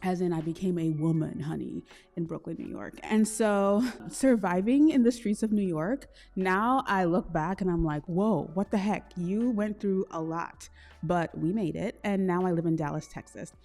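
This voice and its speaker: A woman from Trinidad hosting a solo podcast, talking in a chatty, expressive way with slangy asides. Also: on the low side, moderate pace, faint music behind. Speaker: podcast host. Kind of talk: solo podcast, casual self-reflection.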